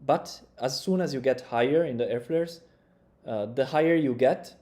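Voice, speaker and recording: A man's voice: mid-range voice, normal speed, mellow. A young man, casually reacting to a dance tutorial and thinking aloud in loose grammar from a small room. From Italy. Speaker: breaking coach reacting to tutorials.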